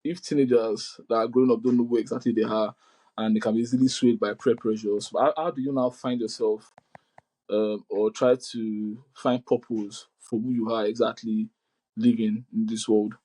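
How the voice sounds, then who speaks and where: Mellow-voiced man hosting over video call. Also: lowish voice, level tone, normal speed, poor audio. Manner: loose and hesitant, many fillers and restarts. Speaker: podcast host.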